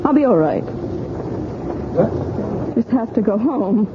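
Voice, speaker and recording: A fragile, lonely young woman in an old radio play, her voice a touch high and her speech slow and halting. The broadcast sounds thin and phone-like, under a layer of hiss. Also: big pitch swings. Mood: shaken. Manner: shaky, halting, stammering. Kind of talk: 1980s radio drama acting.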